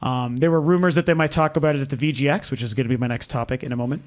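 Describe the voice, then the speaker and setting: A man hosting a video game vlog, hoarse from losing his voice, talking in a candid, frustrated way and swearing freely. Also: medium pitch, racing. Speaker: video game channel host. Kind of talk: casual ranting vlog. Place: lives in Denver.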